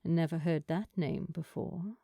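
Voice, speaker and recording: Woman doing a low, cool, flirtatious female bartender. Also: measured pace, gravelly, dark tone, slightly rough, dry recording, studio quality, exaggerated voice. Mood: curious. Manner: laid-back, confident, teasing. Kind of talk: audiobook character dialogue.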